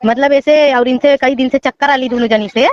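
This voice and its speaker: A woman's voice: high-pitched, fairly even intonation, a little fast. A woman with a Bhojpuri accent recounting personal grievances as a caller in a recorded phone conversation. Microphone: phone call. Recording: muffled, noisy recorded phone call.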